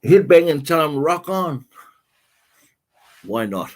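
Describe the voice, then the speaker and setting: Slow-talking New man hosting. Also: fairly high pitch, big pitch swings, a little mellow. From New Zealand. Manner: answers viewer comments one by one, halting and unhurried. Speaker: livestream host. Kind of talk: casual livestream chat.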